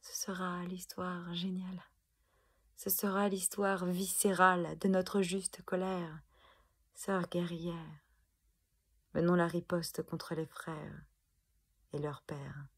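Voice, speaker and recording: Casting: woman for spoken-word protest poem, slow and emphatic, husky with creaky edges. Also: lowish voice, flat delivery. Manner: deliberate, emphatic, dramatic phrasing with pauses. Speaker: poet performing own protest poem. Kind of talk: spoken-word poetry recital.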